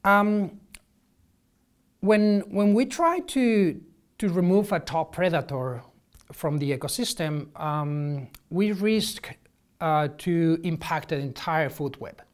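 A man, answering interview questions as a marine ecologist, halting and restarting often. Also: on the high side, animated. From Spain. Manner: halting, many restarts and ums, gestures while explaining. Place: office with shelves, indoors. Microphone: lapel.

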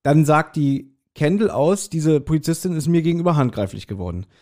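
A German man with a fairly high but mellow voice talks casually as a podcast co-host. The delivery is unscripted, conversational and relaxed in tone. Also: fairly quick. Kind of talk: casual chat reviewing an audio drama.

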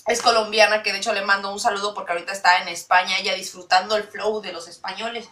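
A woman's voice: medium pitch, fairly even intonation, fast, sharp tone, recorded from a distance. A young woman from Mexico City with a mixed Latin American Spanish accent, chatting to camera in her bedroom, rambling and giggling between fillers. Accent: mixed Latin American Spanish with Mexico City base. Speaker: vlogger. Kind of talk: casual chat to camera. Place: small bedroom indoors.

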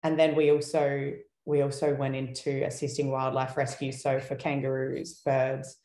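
A young woman talks in a relaxed, rambling way, full of fillers and small laughs. Her pitch stays flat and even. The video call audio carries a noticeable room echo. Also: moderate pace. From Australia. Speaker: guest, wildlife activist. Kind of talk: casual panel discussion.

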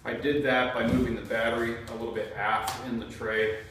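A man's voice: medium pitch, level tone, a little fast, a bit bright, slight background noise. Man reviewing a model jet, echoey room. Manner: methodical, with frequent uh fillers. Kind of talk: product review talk to camera. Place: indoor green screen studio. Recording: very echoey room, mic far off.

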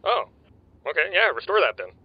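A fussy, nerdy soldier. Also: male voice, high-pitched, very animated, brisk, husky, no echo, highs cut off, heavily acted. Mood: exasperated. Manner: fussy, exasperated, sarcastic. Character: nerdy, uptight male soldier tinkerer.

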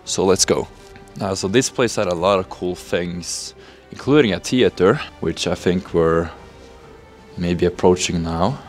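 A man's voice: low-pitched, unhurried, faint music behind. A young man hosting a casual exploring vlog, thinking aloud with fillers, filmed on a handheld camera in a large, cold, empty building. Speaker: urban explorer host. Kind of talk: casual on-location vlog talk.

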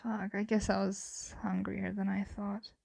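A woman acting a flirtatious, teasing roommate playing vampire in an intimate ASMR roleplay, speaking softly and often whispering, with hesitant murmurs. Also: mid-range voice, level tone, unhurried, exaggerated voice. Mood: flirtatious, hungry. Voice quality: soft, breathy, frequently whispering.

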